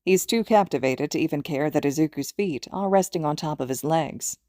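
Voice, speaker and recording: A woman's voice: low voice, normal speed, warm tone, no echo, clean studio sound. A woman reading a story aloud as a scripted audiobook narration. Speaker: podfic narrator.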